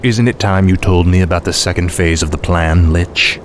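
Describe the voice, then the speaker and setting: A man voicing a cruel, arrogant undead dark knight in a game cutscene, cold, commanding and sardonic. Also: low voice, steady tone, normal speed, slight background noise, close to an everyday voice. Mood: sardonic, questioning. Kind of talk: video game cutscene acting.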